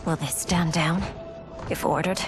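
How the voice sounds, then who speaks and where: An actress playing a bold, protective young princess in a video game, firm and earnest. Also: female voice, low voice, steady tone, measured pace. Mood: determined. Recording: game music behind voice.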